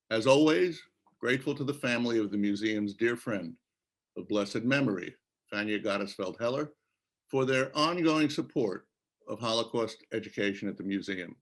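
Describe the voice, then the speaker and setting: A man delivering formal, scripted welcome remarks as the host of an educational conference, in a measured way. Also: mid-range voice. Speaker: museum leader opening a conference.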